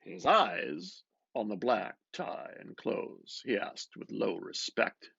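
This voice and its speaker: A man gives an expressive, theatrical reading of a literary novel, moving between murmured asides and louder phrases. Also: fairly low pitch, slow, vocal fry, husky, dry recording, dull audio. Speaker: reader of a novel.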